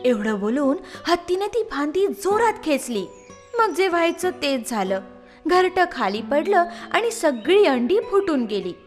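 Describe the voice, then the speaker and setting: A woman's voice: high voice, very animated, measured pace, faint music behind. A woman narrating a scripted children's story as the storyteller.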